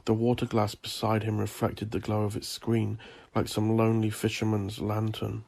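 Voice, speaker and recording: A man reading dark horror fiction as a narrator, in a brooding, ominous and theatrical manner. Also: fairly low pitch, monotone, normal speed, vocal fry. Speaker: narrator of a horror audio drama. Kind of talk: dramatic gothic scripted narration.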